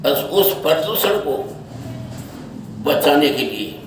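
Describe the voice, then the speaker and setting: A man giving an unscripted opinion monologue in halting, short phrases, often repeating himself. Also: fairly high pitch, big pitch swings. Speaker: social media speaker giving his opinion. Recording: echoey, distant mic, faint noise.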